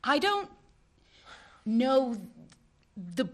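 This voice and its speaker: A woman playing an exasperated, sharp-tongued marina owner in a radio play, defensive and prickly. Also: high voice, very animated, extremely slow, exaggerated voice.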